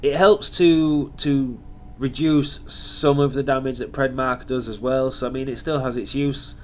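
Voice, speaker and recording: A man's voice: medium pitch, fairly even intonation, measured pace, a little hiss or hum. A man hosting a gaming channel, talking through a build in casual commentary, sounding nasal and sniffly from hay fever. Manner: chatty, explaining step by step.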